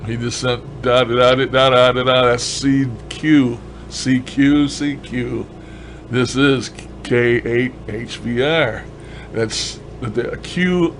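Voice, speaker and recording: A man's voice: mid-range voice, fairly even intonation, takes it slowly. Folksy man rambling over background noise. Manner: folksy, colloquial, enthusiastic. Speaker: ham radio hobbyist vlogger. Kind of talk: casual rambling demonstration of a radio gadget.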